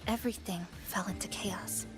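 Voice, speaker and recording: A woman playing a calm, thoughtful young heroine in a video game, speaking gently and in measured phrases. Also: medium pitch, natural voice, rough recording. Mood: reflective, somber. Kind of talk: game character acting. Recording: background music over the voice.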